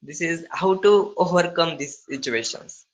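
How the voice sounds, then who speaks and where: A man with an Indian accent practising conversational English, speaking haltingly in non-fluent phrases with broken grammar. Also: fairly high pitch. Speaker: English learner. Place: online video call.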